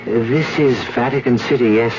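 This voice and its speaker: An actor playing a polite, earnest young male student, gentle and a little hesitant, in a 1970s radio drama. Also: medium pitch, steady tone, moderate pace, background noise, lightly acted. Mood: helpful. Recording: dull 1970s radio recording with music.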